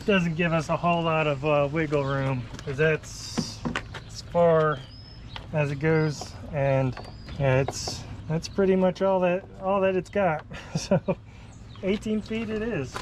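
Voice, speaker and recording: A man's voice: on the high side, fairly even intonation, slow. A man giving a casual hands-on how-to, talking informally and thinking aloud as he goes. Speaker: host demonstrating a vehicle radio installation. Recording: noisy car and outdoor ambience.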